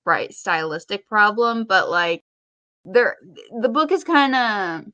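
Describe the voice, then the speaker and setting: Bright-voiced woman, chatty podcast co-host. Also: medium pitch, expressive, slow, dry recording, dull audio. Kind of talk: casual podcast chat.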